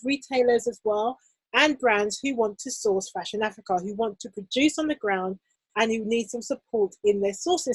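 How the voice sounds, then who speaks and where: A woman's voice: fairly high pitch, husky, dry recording, low-quality recording. A woman hosting an online interview as a fashion business coach, talking in an upbeat, enthusiastic way with many fillers.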